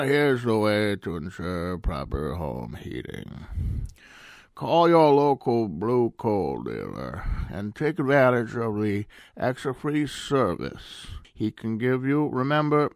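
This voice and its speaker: An actor playing a folksy, earnest heating expert reading a 1930s style radio advertisement. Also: male voice, low-pitched, vocal fry, no room sound, studio quality, full character voice.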